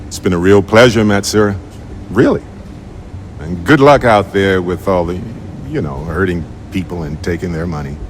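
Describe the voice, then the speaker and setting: A man's voice: deep voice, moderate pace, slight background noise, natural voice. A man playing an unflappable, easygoing, joking space explorer in a video game, with a wry, rambling manner. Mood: cheerful banter. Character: wisecracking, unflappable, easygoing middle-aged male space explorer. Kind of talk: video game dialogue acting.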